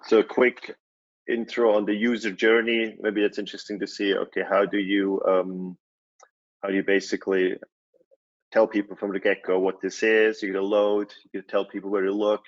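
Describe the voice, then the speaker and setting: A man from Switzerland gives a relaxed conference talk, pausing on fillers and restarting his sentences. His voice sits very low and stays flat, and the sound is dull and lo-fi, as over a video call. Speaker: conference speaker, company CEO. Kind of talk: presentation talk.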